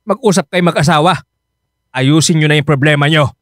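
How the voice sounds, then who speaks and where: A man's voice: a little high, brisk, no room sound, studio quality, natural voice. A male actor playing a stern, exasperated father in a radio drama. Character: stern, exasperated father scolding his married son. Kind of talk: Filipino radio drama acting.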